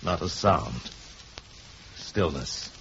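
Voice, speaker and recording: An actor narrating a 1950s science fiction radio drama in an earnest, dramatic style. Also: male voice, a bass voice, lively intonation, very slow, creaky, noisy background, exaggerated voice. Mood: grave suspense. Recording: muffled 1950s radio recording, music behind.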